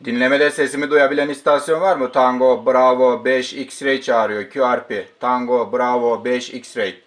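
A man's voice: medium pitch, fairly even intonation, normal speed, slight background noise. A man working as an amateur radio operator, speaking politely and courteously as he exchanges call signs and short radio messages.

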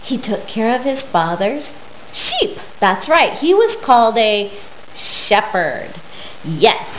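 Middle-aged female children's storyteller, very expressive delivery, simple questions and pauses for little listeners; roomy home recording. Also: on the high side. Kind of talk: storytime talk and read-aloud for young children. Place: living room at home, indoors. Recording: roomy, dull sound with faint noise.

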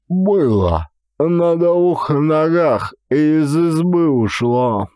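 A man voicing a drunken, self-pitying young Cossack strongman in an audiobook, with slow, drawn-out muttering. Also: mid-range voice, a little mellow, no room sound, clean studio sound, pitched above the performer's own voice, heavily acted.

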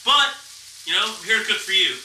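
A young man hosts a casual cooking demonstration in a small kitchen. His voice sits a little high and is picked up from a distance by the camera. The room echoes around him, with clear background noise. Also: animated, brisk. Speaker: chef hosting a cooking show. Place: small home kitchen, indoors. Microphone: camera a few steps away.

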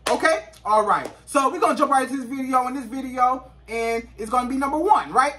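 A man's voice: very high-pitched, brisk. A man, giving emphatic, repetitive relationship advice straight to the camera. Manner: emphatic, repetitive, gestures constantly, often rises to near shouts. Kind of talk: animated direct-to-camera advice talk. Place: small indoor living room. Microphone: camera a few feet away. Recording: slightly distant camera mic.

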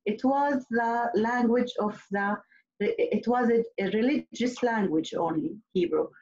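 A woman's voice: a little high, measured pace, darkish tone. A middle-aged woman whose first language is Arabic, giving a prepared panel talk over a video call, earnest and hesitant, with frequent restarts. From Nazareth. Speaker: panelist, clinical psychologist. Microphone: laptop webcam mic on a video call. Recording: dull lo-fi video call audio.

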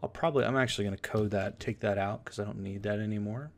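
A man giving an informal screen tutorial, thinking aloud as he explains each step in a casual, halting way. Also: fairly low pitch. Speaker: software tutorial instructor. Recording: noisy room with keyboard clicks. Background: typing and mouse clicks.

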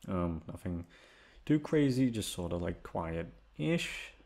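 A young British man chatting casually and hesitantly, meandering, repeating words and gently mocking himself. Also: low-pitched, sing-song, slow pace, vocal fry. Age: young adult. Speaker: voice actor talking to listeners. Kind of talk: casual rambling chat.

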